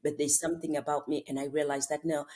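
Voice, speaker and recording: A middle-aged woman from Zimbabwe, a psychotherapist and founder, telling her story as a podcast guest in earnest, lively bursts. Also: low-pitched, fast. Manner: earnest storytelling, lively when quoting herself. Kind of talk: conversational interview.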